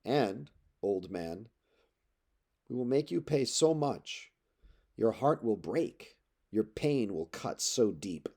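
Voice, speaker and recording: A man's voice: fairly low pitch, animated, takes it slowly, pauses often, darkish tone, husky, exaggerated voice. Man acting a scornful, menacing suitor. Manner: contemptuous, menacing, emphatic. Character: scornful, threatening male suitor sneering at an elder. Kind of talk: dramatic epic poetry read aloud.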